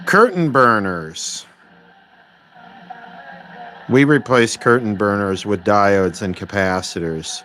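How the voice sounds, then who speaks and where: A man hosting a casual livestream about electronics repair, thinking aloud in a halting, rambling way with dry, wry remarks. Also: lowish voice. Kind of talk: casual unscripted livestream chat, reading and answering viewer requests. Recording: clear steady background noise.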